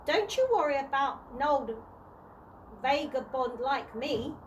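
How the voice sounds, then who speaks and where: Woman playing a courteous old tramp, posh, formal, halting, high-pitched, storybook character, faint background noise. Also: animated, lo-fi sound. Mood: polite, kindly. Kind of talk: children's storybook read-aloud.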